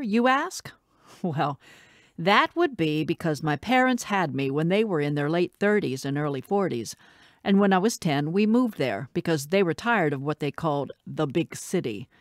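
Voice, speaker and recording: Audiobook: woman reader as bookish twenty-something female teacher, wry and candid, animated pitch, dry close sound. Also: no room sound. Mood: wry, matter-of-fact. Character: bookish single schoolteacher in her twenties, wry, candid storyteller. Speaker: audiobook narrator. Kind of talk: scripted audiobook narration.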